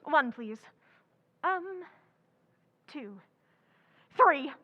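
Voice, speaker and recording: Woman doing a chatty gushing teen girl in an old radio play, high voice, kinda dull lo-fi recording. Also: expressive, drawn-out words, full character voice. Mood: eager, chatty. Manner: gushing, theatrical exclamations. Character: Jo, exuberant, chatty, impulsive teenage girl. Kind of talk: old-time radio drama acting.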